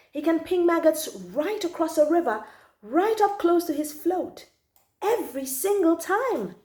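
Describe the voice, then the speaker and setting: A woman reading a children's story aloud in an expressive, emphatic manner, drawing out key words and adding little asides to listeners. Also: high-pitched, reverberant. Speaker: storytime channel host reading children's books.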